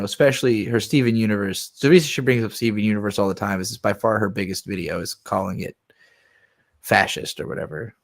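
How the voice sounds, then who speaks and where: A man giving casual, unscripted reaction commentary as a streamer, in a conversational, rambling and sweary way. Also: lowish voice. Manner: conversational, rambling, sweary, acts out imagined replies.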